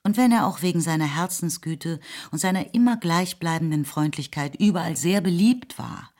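A woman narrator reading literary prose for a radio play in a measured, expressive storytelling manner. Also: fairly low pitch. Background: faint music.

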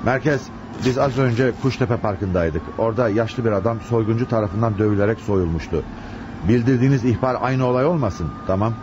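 A male actor playing a resolute, compassionate chief inspector in a radio play, speaking with measured authority. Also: mid-range voice, lightly acted. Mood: grave, businesslike. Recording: muffled, noisy lo-fi radio drama recording.

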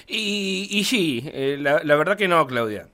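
A man's voice: a little high, lively intonation, a little slow, pushed and bright. An Argentine man playing a flustered, blustering party politician in a satirical radio play, stammering and repeating himself emphatically. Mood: indignant.

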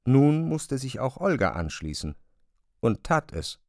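A man's voice: mid-range voice, sing-song, unhurried, dry recording. Dry, close man narrating a literary drama.

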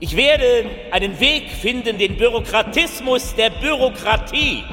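A woman's voice: a little high, lively intonation, measured pace, bright, forceful tone. A woman playing a grandiose, determined political orator who declaims bold vows in a theatrical radio piece. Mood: resolute, grandiose. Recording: long hall reverb, music underneath.

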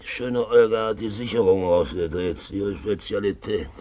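An actor playing a boozy, jovial, lecherous gentleman in a 1950s German radio drama, gravelly and theatrical, going from grumbling mumbles to loud wheedling cries. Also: lowish voice, normal speed, natural voice. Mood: boisterous. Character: boozy, roguish Russian gentleman found alive, jovial lecher.

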